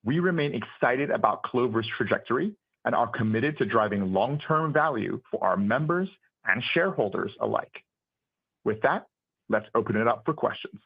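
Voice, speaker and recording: A man's voice: medium pitch, expressive, normal speed, creaky, slightly rough, no room sound. A man speaking as a company executive, giving remarks and answers on an earnings call. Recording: dull, lo-fi conference call audio.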